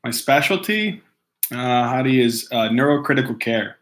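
A man's voice: medium pitch, animated, moderate pace, a bit bright. A man in his thirties from Hawaii, reading viewer mail from home to camera in a casual, easily distracted way, laughing often and restarting sentences. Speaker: host reading fan mail. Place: room at home. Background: dogs barking at times.

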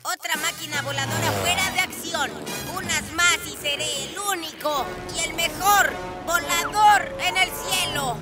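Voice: very high voice, measured pace, few pauses, a loud crowd. A performer voicing a cocky, scheming child villain in a children's cartoon, mocking and bossy. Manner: mocking, commanding. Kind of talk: animated children's cartoon dubbing. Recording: loud music and effects behind.

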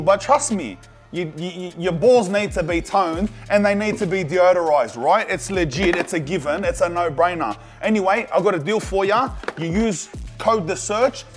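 A man reading a podcast sponsor spot in a pushy, jokey sales patter. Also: high-pitched. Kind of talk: casual ad read.

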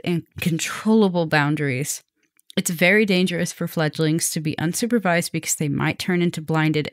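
Woman acting tender, low-voiced vampire professor. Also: dry recording, studio quality. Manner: hesitant, stammering, tender, apologetic. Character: gentle, remorseful, centuries-old female vampire professor. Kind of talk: ASMR roleplay, intimate soft acting.

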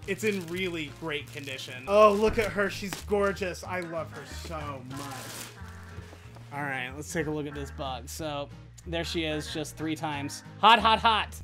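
Effusive, high-voiced American man, very animated. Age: late twenties. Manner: effusive, theatrical, gushing. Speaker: host of a toy unboxing show. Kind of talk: excited unboxing chat to camera. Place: small room full of toy shelves, indoors. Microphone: desktop USB condenser microphone.

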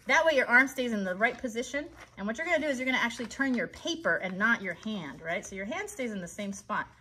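A woman's voice: fairly high pitch, expressive, fast talker, sharp tone, a little hiss or hum. An American woman in her thirties hosting a live craft tutorial for children, chatty and encouraging as she talks directly to them. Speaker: children's craft host and puppeteer. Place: child's bedroom set indoors.

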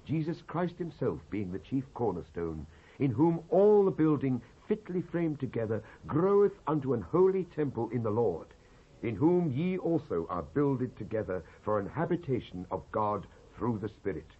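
A British man giving a solemn, declamatory dramatised scripture reading in measured phrases with pauses. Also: medium pitch, sing-song, slightly rough. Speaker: Bible reader, actor. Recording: dull lo-fi cassette transfer.

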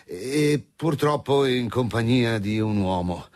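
An actor playing a dogged, world-weary middle-aged police commissioner in a radio drama. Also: male voice, medium pitch, animated, slow pace, a little mellow. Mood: tactful, firm. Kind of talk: naturalistic radio drama acting.

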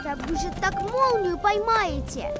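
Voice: very high voice, lively intonation, measured pace, loud music behind the voice. A performer voicing a small, earnest, imaginative schoolgirl in a children's cartoon, speaking in a pleading manner. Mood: worried.

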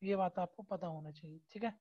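A man teaching an exam-prep lesson over a screen recording, explaining slowly with many hesitation fillers and constant checks that his students understand. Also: high-pitched, slightly rough, lo-fi sound. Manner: keeps checking understanding with tag questions.

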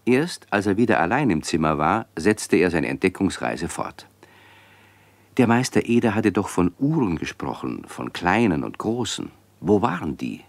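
A man's voice: sits low, sing-song, moderate pace, vocal fry, dry recording. A man narrating a children's radio play as a scripted storyteller.

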